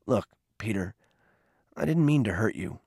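A man's voice: lowish voice, lively intonation, unhurried, vocal fry, a little mellow, breathy edge, no room sound, clean studio sound, full character voice. A man voicing a remorseful boy in an audiobook story, earnest and pleading as he holds back his temper. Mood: earnest, apologetic.

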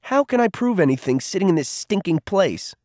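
A surly teenage boy in an audiobook, snapping and sarcastic, pitched well up and pushed louder, as a man reads him. The sound is close and dry. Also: sing-song, a little fast, no room sound. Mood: sulky. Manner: higher, sulky and sarcastic.

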